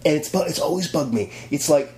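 Man, opinionated co-host, lively swearing rant, roomy echo. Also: fairly high pitch, brisk. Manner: animated ranting, swears freely. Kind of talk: casual opinionated chat. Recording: roomy echo, faint hum.

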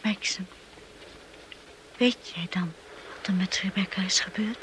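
An actress as a hushed, devoted young wife. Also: female voice, medium pitch, a little slow, lightly acted. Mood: shocked, anxious. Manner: tender, earnest, imploring. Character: devoted, anxious young wife pleading with her husband. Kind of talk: Dutch radio play acting. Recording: noisy old radio play recording.

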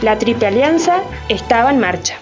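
A female narrator delivers scripted radio drama in a declamatory manner. Her voice is notably high, over faint music. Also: expressive, normal speed.

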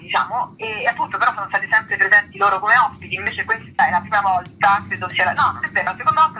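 Female interview guest, very bright forceful tone, conversational and rambling, slight noise behind the voice. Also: medium pitch, poor audio. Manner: chatty, digressive, often rephrasing mid-sentence. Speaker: actress and writer, interview guest.

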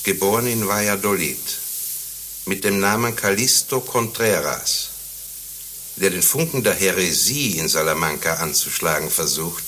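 Stern, bright-voiced pompous inquisitor. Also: male voice, sits low, expressive, moderate pace, slight background noise. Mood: stern, officious. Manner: formal, indignant, ornate speech. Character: stern, pompous male Inquisition inspector hunting heretics. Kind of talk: satirical radio play acting.

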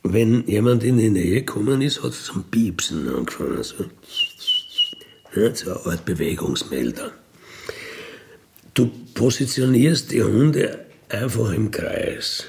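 Middle-aged man, Austrian accent, very slow delivery, gravelly fry, colloquial matter-of-fact storytelling, radio feature. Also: on the low side, sing-song, darkish tone. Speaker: former burglar telling his life story. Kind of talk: casual first-person storytelling in a radio feature.

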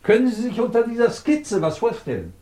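A man's voice: very high-pitched, very animated, a little fast, warm tone. A man acting a middle-aged, imperious and sardonic British professor who runs a research department, in a commanding 1950s German radio drama style. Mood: wry, testing. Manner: commanding, clipped, probing, sardonic.

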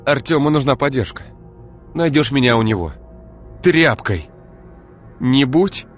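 A man voicing a fierce, sharp-tongued young woman who shrieks when angry. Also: expressive, a little fast, soft background music, lightly acted. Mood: stern. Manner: shrill, shrieking when enraged.

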